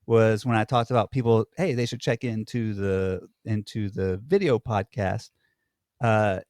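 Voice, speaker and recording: Low-voiced man, hesitant and reflective. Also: measured pace, a little mellow, no echo, clean studio sound. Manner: hesitant, self-questioning, restarts. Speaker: podcast co-host. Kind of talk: casual conversation.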